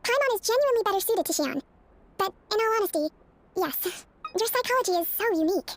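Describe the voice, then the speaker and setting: A woman voicing a gracious, composed baku spirit lady in a video game, speaking politely and with measured professionalism. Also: extremely high pitch, animated. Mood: gentle, intrigued. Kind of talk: scripted video game voice acting.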